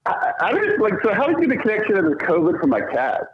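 A man's voice: sits high, wildly swooping pitch, quick pace, breathy edge. A man bantering casually and improvising prank calls as a podcast guest, heard over a lo-fi connection. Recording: dull, lo-fi phone-like connection.

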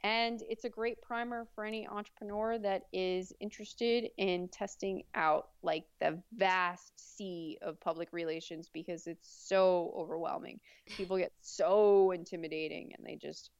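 A woman with a deep voice, chatting easily as an interview guest about her PR agency. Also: normal speed, smooth, no echo, dull audio. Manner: relaxed, chatty, with fillers and easy laughs. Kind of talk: casual interview.

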